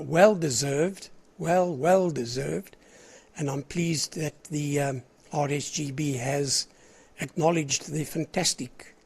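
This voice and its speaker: An older man with a South African accent, hoarse with a cold, chatting as the controller of an amateur radio net and rambling between greetings. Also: on the high side. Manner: chatty and rambling, clears his throat often. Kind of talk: casual on-air net chat.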